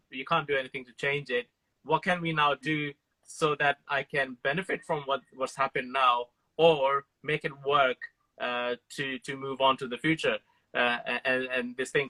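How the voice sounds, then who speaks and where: A man's voice: medium pitch, sharp tone, poor audio. A man hosting a casual interview, asking long rambling questions full of fillers. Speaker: host.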